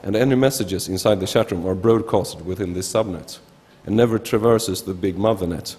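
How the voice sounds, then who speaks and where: A man in his thirties from Sweden, with a Swedish accent, giving an informal technical conference talk in a halting way, often restarting words. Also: low-pitched, darkish tone, husky. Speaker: researcher presenting at a conference. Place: large indoor conference hall, at a lectern. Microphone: gooseneck lectern microphone. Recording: reverberant lecture hall.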